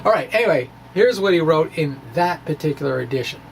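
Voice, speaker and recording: A man's voice: on the high side, expressive, brisk, gravelly, a little hiss or hum. A middle-aged man giving a playful, theatrical reading of a science blog, drifting into informal asides. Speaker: physics blogger reading his own blog. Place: small indoor room with bookshelves. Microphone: microphone on a stand in front of him.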